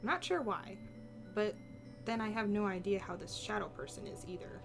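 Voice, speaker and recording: A woman's voice: mid-range voice, animated, moderate pace, vocal fry, over music. A woman voicing a conflicted, secretive visual novel heroine, hesitant and reflective as she narrates her own thoughts. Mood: conflicted, brooding. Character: conflicted, secretive heroine with a brooding, wary inner voice. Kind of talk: visual novel read-aloud with inner monologue.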